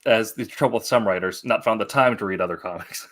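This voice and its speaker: A man hosting a casual interview, asking questions in a halting way as he searches for words. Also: fairly low pitch, a bit bright. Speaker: interview host.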